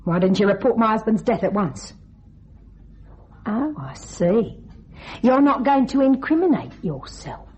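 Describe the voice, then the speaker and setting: A woman's voice: a little high, very animated, a little mellow. A woman playing a cold, scheming young widow in a radio thriller, mocking and coolly commanding. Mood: accusing. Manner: taunting, coolly controlled, deliberate. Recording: dull, band-limited radio drama recording.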